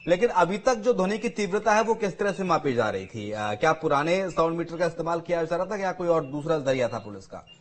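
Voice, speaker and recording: A man's voice: on the high side, expressive, brisk, few pauses. A male news anchor interviewing field reporters live on air.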